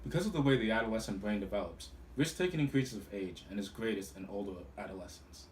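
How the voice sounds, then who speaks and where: Quiet young man, far from the mic. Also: lowish voice, expressive, quick pace. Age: young adult. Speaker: student presenter. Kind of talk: reading a class presentation. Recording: distant mic, loud background noise.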